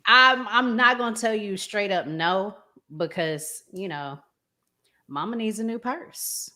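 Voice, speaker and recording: A woman hosting a casual discussion, talking in a measured, deliberate way with pauses. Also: medium pitch, animated, pushed and bright. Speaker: host.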